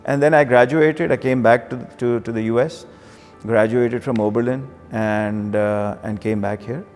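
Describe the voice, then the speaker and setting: A man's voice: mid-range voice, fairly even intonation, moderate pace, a little mellow, big-room sound. A middle-aged man with a Pakistani accent, speaking conversationally as he reflects and tells his story in an interview. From Karachi, Pakistan. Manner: conversational, occasional Urdu phrases. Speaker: banker, interview guest. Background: background music.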